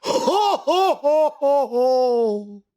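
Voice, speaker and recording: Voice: expressive, extremely slow, mellow, full character voice. A storyteller voicing a jolly, boastful tiny old man, an inventor professor in a children's audio story. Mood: hearty laughter. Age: older. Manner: boastful, booming laughter.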